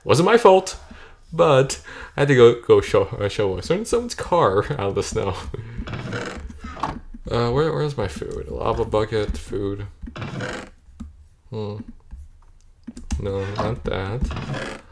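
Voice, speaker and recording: A man's voice: fairly low pitch, big pitch swings, slow pace, long pauses, noisy background. A young man rambling to himself as he plays, his voice cracking and a bit off. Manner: rambling, self-correcting, talks to himself. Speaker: gamer streaming a play session. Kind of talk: casual thinking-aloud gameplay commentary.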